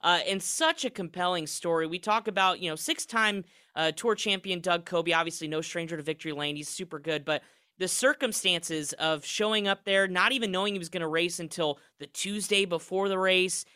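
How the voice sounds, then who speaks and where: A man hosts a motorsport talk show from a studio. His voice is bright and crisp. He keeps a chatty, upbeat flow with casual restarts. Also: high-pitched, brisk. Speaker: racing podcast host.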